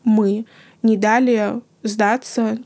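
Earnest woman with a flat, gravelly voice. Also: medium pitch, drawn-out words. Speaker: podcast guest. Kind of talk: reflective podcast conversation.

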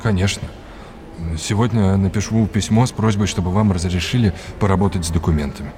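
An actor playing a courteous, erudite art lecturer in an audio drama, with an old-fashioned, didactic way of talking. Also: male voice, sits low, steady tone, a little fast, a crowd in the background, natural voice. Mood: helpful, informative.

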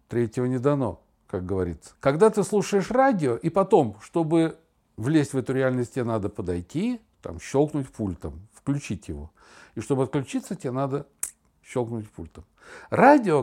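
Older male podcast host, mid-pitched, conversational raconteur with a theatrical, wandering delivery. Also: dark tone. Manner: theatrical, digressive, illustrates with sound imitations and examples. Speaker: podcast host, father talking with his daughter. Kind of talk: casual podcast conversation, storytelling.

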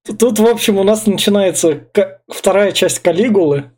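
A man's voice: high voice, moderate pace, darkish tone. A man chatting casually and colloquially about a film as a podcast co-host.

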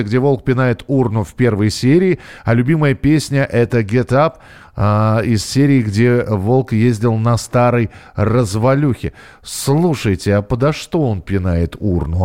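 Male call-in show host: conversational, informal, medium-pitched, musing between remarks. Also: darkish tone. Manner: conversational, chatty, musing aloud. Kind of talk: casual live call-in chat.

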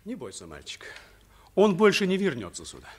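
An actor playing a brave, kindly, amused swordsman in a 1950s radio play, hearty and gently ironic. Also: high voice, huge pitch swings, a little fast. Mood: amused, reassuring. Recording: vintage 1950s radio recording.

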